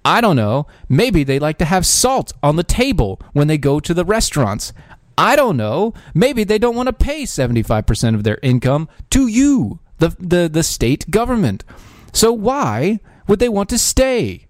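A man's voice: high voice, sing-song, moderate pace, dry recording, clean studio sound. A man hosting a podcast, giving casual political commentary in a sarcastic, ranting way with frequent restarts and fillers. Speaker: podcast host.

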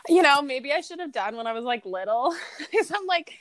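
A woman from Ontario co-hosting a podcast, cheerful and quick to laugh, rambling with many fillers. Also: sits high, lively intonation, a bit bright, no room sound. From Ontario, Canada. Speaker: podcast co-host. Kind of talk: casual chat.

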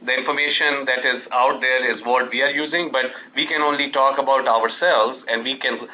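A man speaking as a company executive on an earnings call, answering in a halting, measured, explanatory way. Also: mid-range voice, steady tone. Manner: halting, measured corporate explaining with frequent pauses. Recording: dull lo-fi conference call audio.

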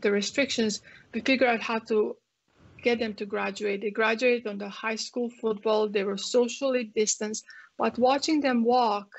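A woman's voice: a little high, gravelly. A woman from Croatia with a Croatian accent, a physician guest speaking earnestly with many fillers and restarts. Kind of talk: panel discussion. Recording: muffled lo-fi audio.

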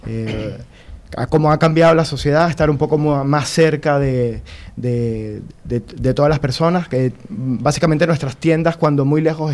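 A man's voice: a little high, a little mellow. Venezuelan man, hesitant interview guest. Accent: Venezuelan Spanish. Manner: hesitant, many fillers and restarts. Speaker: guest, company security chief. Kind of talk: radio interview.

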